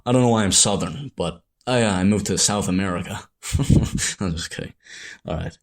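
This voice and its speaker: Hesitant young Irish-born man, lowish voice. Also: normal speed. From Ireland. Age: young adult. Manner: hesitant, rambling, many restarts and self-corrections. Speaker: animator and show creator. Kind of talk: reflective monologue to camera.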